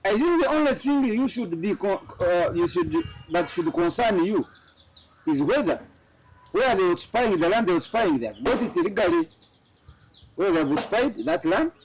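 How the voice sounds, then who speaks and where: Lively high-voiced man hosting a talk show. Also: normal speed, a little hiss or hum, rough recording. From Tanzania. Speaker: show host. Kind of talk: studio political talk show.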